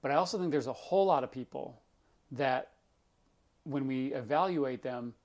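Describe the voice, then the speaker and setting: A doctor with a scratchy voice hosting a live question-and-answer stream, explaining things in a loose conversational way with lots of everyday examples. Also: male voice, mid-range voice, moderate pace, darkish tone.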